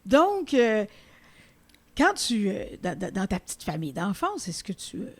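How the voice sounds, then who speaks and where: A woman hosting an interview podcast, speaking with a Québécois French accent, effusive and quick to laugh. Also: mid-range voice, sing-song. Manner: effusive, laughs often, many restarts. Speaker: podcast host. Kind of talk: warm conversational interview.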